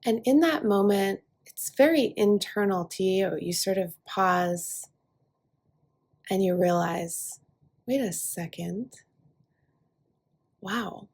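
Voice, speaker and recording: A woman leads a guided meditation as a yoga nidra teacher. She speaks very slowly and soothingly, resting between short phrases. Her voice is soft and breathy, with an airy edge to the words. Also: medium pitch. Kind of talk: guided relaxation meditation, speaking softly to listener.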